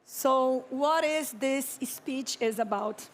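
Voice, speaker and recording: A Brazilian woman in her thirties delivers a conference keynote in a high, light voice. Her Brazilian Portuguese accent colors a calm, reflective delivery full of deliberate pauses, and she clears her throat here and there. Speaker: keynote speaker, architect and urban planner.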